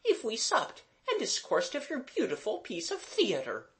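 A narrator voicing a polite French governess with a bright, formal manner for a storybook. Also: mid-range voice, huge pitch swings, unhurried, voice pushed up. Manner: bright, formal, quaintly phrased.

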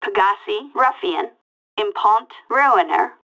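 A woman's voice: mid-range voice, expressive, slow pace, bright, forceful tone, exaggerated voice, low-quality recording. An actress playing a female police dispatcher in a video game, reading out short callouts in a clipped, detached way through a police radio filter. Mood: flat, procedural. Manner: clipped, detached, word-by-word delivery. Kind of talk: reading out vehicle makes and models as scanner callouts.

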